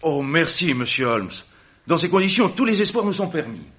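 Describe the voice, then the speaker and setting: A man's voice: a little high, big pitch swings, moderate pace, a bit bright, breathy edge, exaggerated voice. An actor playing an anxious man who earnestly pleads for help for his brother in a vintage radio drama. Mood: pleading. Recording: dull old radio recording.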